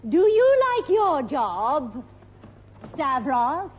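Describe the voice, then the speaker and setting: A woman presenting a television English lesson, speaking slowly and patiently with very clear enunciation. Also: high voice, sing-song, darkish tone, slight background noise, low-quality recording. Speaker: presenter and teacher.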